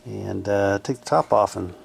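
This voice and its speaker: Low-pitched man with a creaky voice. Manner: halting, thinking aloud while working. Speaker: repairer demonstrating his work. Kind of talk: casual hands-on repair commentary. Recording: faint background noise.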